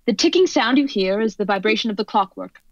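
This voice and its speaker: A woman playing a poised radio news commentator in an old-time radio drama, speaking in a formal, measured announcer style. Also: mid-range voice, animated, no room sound. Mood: formal, composed. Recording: dull lo-fi audio.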